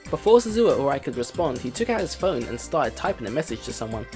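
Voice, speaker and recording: A man's voice: medium pitch, big pitch swings, fast talker. A man hosting a let's play, reading narration aloud and adding casual commentary, with music behind.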